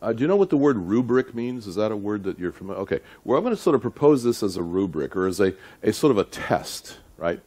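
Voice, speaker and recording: A middle-aged man with a low voice speaks as a lecturer at a technical seminar. He is deliberate and conversational, leaning on key words for emphasis and turning to his listeners with short rhetorical questions. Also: animated, mellow. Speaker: audio engineering lecturer.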